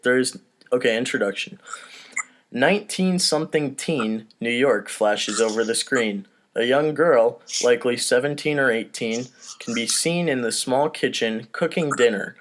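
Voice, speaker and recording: A man's voice: mid-range voice, animated, unhurried, fairly bright tone. A man hosting a podcast in casual, rambling, self-deprecating chat, with some swearing, recorded over an online voice call. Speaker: podcast host and narrator. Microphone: home mic on online voice call.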